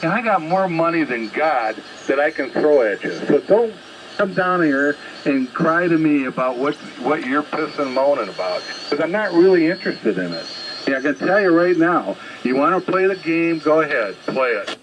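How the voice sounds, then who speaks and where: A man's voice: fairly high pitch, expressive, moderate pace. A man speaking bluntly and confrontationally as an amateur radio operator, lecturing others in an unscripted exchange over shortwave radio. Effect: shortwave single-sideband radio transmission. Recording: very noisy ham radio reception.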